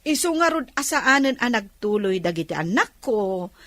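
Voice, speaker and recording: A woman's voice: medium pitch, sing-song, normal speed, a bit bright, dry recording. A woman with an Ilocano accent playing a nosy, pushy middle-aged neighbour in a radio comedy drama, mocking and wheedling. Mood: mocking, prying. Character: nosy, pushy older neighbour woman, always asking loans.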